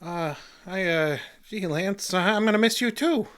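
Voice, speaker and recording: An actor playing a nervous, meek, folksy sidekick who stammers politely in a comic old-time radio play. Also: male voice, sits high, a little slow, a bit bright. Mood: bashful, evasive. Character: nervous, bashful, honest-seeming male sidekick, meek and folksy.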